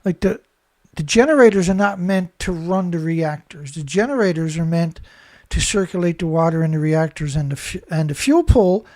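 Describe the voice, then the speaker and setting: A man's voice: on the high side, expressive, moderate pace, hardly any pauses, darkish tone, dry recording. Rambling indignant man, dry sound. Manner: rambling, indignant, many restarts and "right?" tags. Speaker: commentator reading news. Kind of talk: casual monologue reading headlines with commentary.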